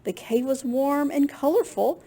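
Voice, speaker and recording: A woman reading a picture book aloud to children in an expressive, unhurried way, with small asides to her listeners. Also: high-pitched. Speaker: children's storytime reader at a library.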